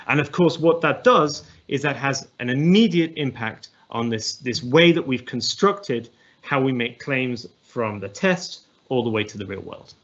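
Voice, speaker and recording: A man in his thirties speaks with an English accent, presenting to a webinar audience over a video call. His voice is husky and mid-pitched, and he often restarts and fills pauses as he works through his points. The audio is slightly dull. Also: a bit bright. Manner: thoughtful, many restarts and fillers. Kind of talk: academic presentation.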